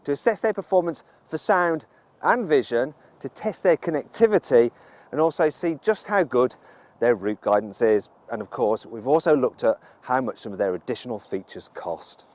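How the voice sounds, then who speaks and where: A man reading a steady, explanatory scripted introduction to a review series. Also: medium pitch, normal speed. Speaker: video presenter. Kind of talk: scripted voice-over narration.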